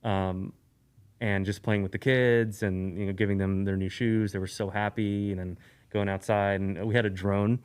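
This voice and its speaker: A man from Eastern Europe, a podcast guest, talking in a relaxed, rambling way with lots of fillers and restarts. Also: lowish voice, moderate pace, smooth. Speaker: guest, sneaker YouTuber. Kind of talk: casual podcast conversation.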